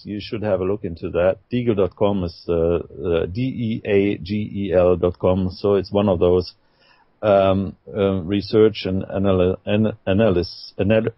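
A man from Switzerland with a Swiss German accent, a guest in a casual online radio roundtable, speaking haltingly with frequent laughs. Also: on the low side, no echo. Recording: dull online call audio.

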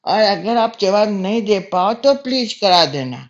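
A man's voice: sits high, big pitch swings, a little slow, lo-fi sound, full character voice. A man voicing an insolent young boy who makes taunting, mock-polite prank calls in a comedy sketch. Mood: sly, teasing.

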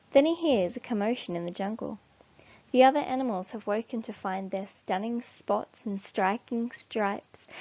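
A woman reading a children's storybook aloud expressively, with deliberate emphasis. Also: a little high, a little mellow, lo-fi sound. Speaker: storybook reader.

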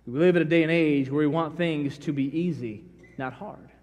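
A middle-aged man preaching a sermon in a large church sanctuary, folksy and animated, acting out bits of dialogue as he tells stories. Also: a little high, normal speed, muffled recording. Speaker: pastor. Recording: long reverb of church hall.

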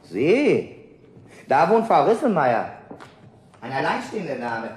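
A prying, officious neighbor. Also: male voice, on the high side, sing-song, a little slow, a little hiss or hum. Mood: suspicious. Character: suspicious nosy male neighbor, stern. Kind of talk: 1970s radio play acting.

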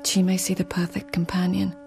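An actress playing a proud, independent court lady in a radio drama, cool, restrained and often hushed. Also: fairly low pitch, monotone, a little fast, creaky, background noise. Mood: tense, inward. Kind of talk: radio drama dialogue and literary narration.

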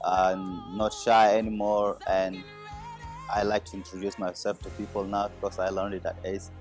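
A young man from Ethiopia speaks in a lilting, sing-song way about his life. His voice is fairly low, and he is recorded outdoors over faint music. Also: little pitch movement, normal speed, darkish tone. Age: young adult. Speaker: high school graduate. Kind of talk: casual testimonial. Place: outdoors by a house.

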